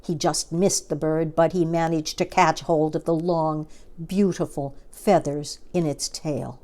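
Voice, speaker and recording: A woman's voice: low voice, steady tone, unhurried, darkish tone. A woman reading a children's story aloud as a storyteller, expressively and with dramatic emphasis.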